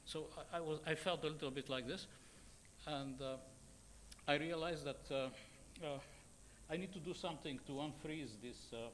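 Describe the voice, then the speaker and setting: Halting older Bulgarian man, higher-pitched voice. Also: a bit bright, a little hiss or hum. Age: 60s. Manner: halting, hesitant, with many long fillers and repeats. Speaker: journalist and editor, conference panelist. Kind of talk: unscripted panel remarks. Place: conference panel.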